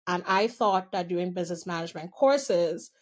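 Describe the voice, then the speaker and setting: A woman's voice: on the low side, lively intonation, normal speed. Woman with a Trinidadian accent. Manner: candid, amused, stretches key words. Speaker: guest. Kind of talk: personal storytelling in an interview.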